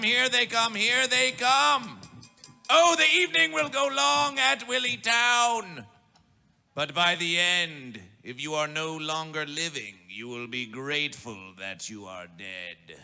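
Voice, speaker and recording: A man working as a festival master of ceremonies, making bombastic, mock-ceremonial announcements in a gravelly voice and chanting repeated phrases. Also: high voice, unhurried, sharp tone. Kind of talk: theatrical chanted stage announcements. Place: live music festival stage. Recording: music behind the voice. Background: music.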